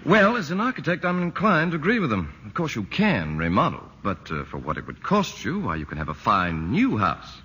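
An actor playing a polite, exasperated architect explaining things in 1940s radio comedy style. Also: male voice, mid-range voice, wildly swooping pitch, brisk, hardly any pauses, pushed and bright, breathy edge, lo-fi sound, heavily acted.

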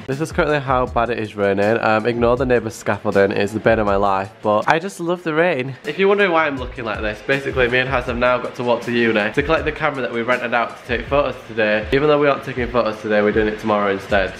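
A young British man talks in a casual, chatty vlog style at a middling pitch, with dry, self-deprecating humour. He speaks into a handheld camera at arm's length, with some music under his voice. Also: fairly bright tone. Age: young adult. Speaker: vlogger. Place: mostly rooms at home, some street and garden.

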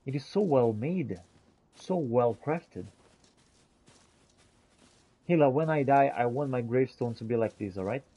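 Mellow-voiced man roleplaying in a fantasy game. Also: mid-range voice, animated, measured pace, pauses often, breathy edge, low-quality recording. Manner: theatrical archaic phrasing mixed with casual talk. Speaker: gamer roleplaying as the player character. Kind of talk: in-character roleplay commentary over fantasy game.

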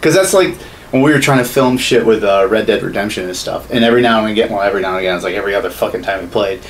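A man's voice: on the low side, rapid-fire. A man in his thirties ranting casually and profanely as a podcast co-host in a small room. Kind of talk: casual opinionated chat about games and movies. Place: small home studio room, indoors. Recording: clearly noisy room recording.

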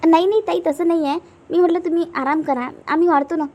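Cartoon younger sister-in-law, played by a woman: high and fast, earnest, deferential, forgiving, Varhadi Marathi accent. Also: fairly even intonation, a little mellow. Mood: reassuring. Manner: earnest, respectful, rapid reassurance. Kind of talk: amateur cartoon family drama.

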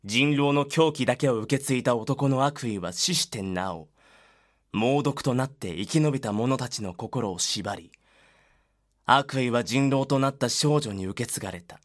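A man narrating a dark voice drama as a solemn, grave storyteller. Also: mid-range voice, natural voice. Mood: grave, ominous. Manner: grave, measured.

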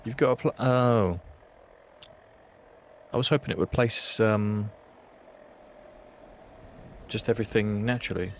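A man chatting while playing a co-op game, quick to grumble and swear. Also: lowish voice, slight background noise. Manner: quick to complain, swearing, laughing. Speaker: co-op player. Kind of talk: casual gaming chat.

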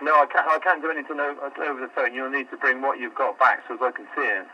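Quick-talking store clerk on phone. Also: male voice, mid-range voice, fairly even intonation, lo-fi sound, background noise. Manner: patient but exasperated, repeats himself. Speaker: store customer service worker. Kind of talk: phone call.

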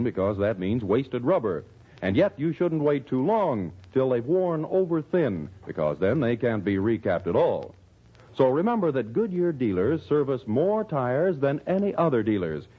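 Tinny vintage American radio adman, animated delivery. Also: mid-range voice, husky. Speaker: radio announcer. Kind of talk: 1940s radio commercial read, scripted. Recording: tinny, narrow-band 1940s radio recording.

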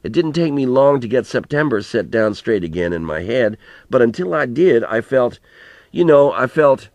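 A man's voice: mid-range voice, big pitch swings, moderate pace, a little mellow, no room sound. A man reading a storybook as an elderly farmer grandfather, plain-spoken and folksy, telling rambling, earnest reminiscences with some mild swearing. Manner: colloquial rural storytelling, plain-spoken, mildly profane.

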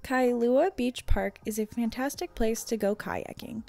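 A woman's voice: a little high, unhurried, vocal fry, a little mellow, soft background music. A woman reading a scripted travel guide narration for a vlog.

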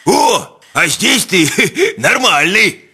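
A man's voice: sits high, sing-song, takes it slowly, pushed and bright, breathy edge, heavily acted. A man voicing a jolly, reassuring male animal pal in a cartoon, with a jovial, soothing manner. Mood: amused reassurance. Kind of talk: cartoon voice acting.

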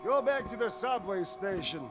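A man's voice: high-pitched, animated, normal speed. An actor playing a cold, ruthless male mercenary who talks with scornful contempt in a video game scene. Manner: scornful, sneering. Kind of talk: video game cutscene acting. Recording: loud music behind.

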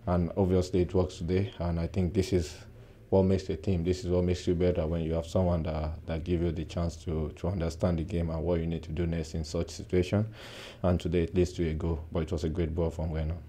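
A young man in his twenties, a footballer giving a soft-spoken post-match interview with many "uh" pauses, recorded indoors close to the mic. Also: low-pitched, flat delivery. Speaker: football player. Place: indoor press backdrop at a stadium.

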